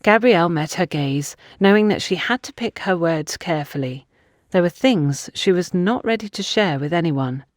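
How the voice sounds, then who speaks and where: A woman's voice: fairly low pitch, lively intonation, normal speed, no room sound, studio quality. A woman reading scripted fiction as an audiobook narrator.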